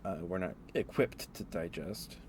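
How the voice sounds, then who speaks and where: A man with a very low voice talks in a rambling ASMR style, as a livestreamer would. He muses aloud in a halting way, with faint steady noise behind him. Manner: halting, musing, thinking aloud.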